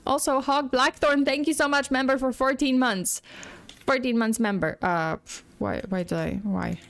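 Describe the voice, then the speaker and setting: A woman's voice: sits high, fairly even intonation, moderate pace, creaky. A woman hosting a casual livestream, chatting and rambling, greeting viewers by name as her voice starts to go. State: losing her voice. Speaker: livestream host.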